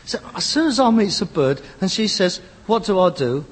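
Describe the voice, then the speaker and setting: A man's voice: high voice, very animated, moderate pace. An actor playing a sarcastic, exasperated grown son in a British sitcom, a London rag-and-bone man with a mocking manner. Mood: aggrieved. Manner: mocking, sardonic. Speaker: actor in a comedy. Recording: reverberant, noisy old sitcom recording.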